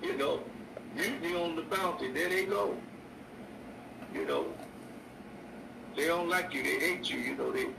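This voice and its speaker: An older man, a bishop preaching a sermon on his radio show, slow and repetitive with stammered restarts. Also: mid-range voice, creaky. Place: indoor room. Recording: echoey distant mic with noise.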